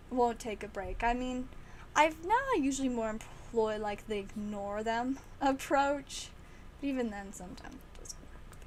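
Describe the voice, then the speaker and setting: A woman hosting a card-opening livestream, chatting casually and excitedly, her voice slightly raspy and strained. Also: fairly high pitch, a little hiss or hum, rough recording. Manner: chatty and excitable, with frequent laughs. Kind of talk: casual livestream chat while opening packs.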